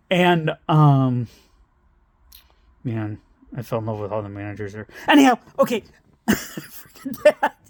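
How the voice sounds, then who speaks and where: A man's voice: medium pitch, sing-song, slow pace, pauses often, no room sound. A middle-aged man telling a meandering personal story, interrupting himself and laughing at his own tangents. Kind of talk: rambling personal anecdote.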